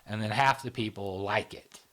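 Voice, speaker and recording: A man's voice: lowish voice, steady tone, normal speed, a bit bright. A man hosting a casual podcast conversation, explaining ideas at length and tagging his sentences with "right?" and "like". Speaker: host, channel founder.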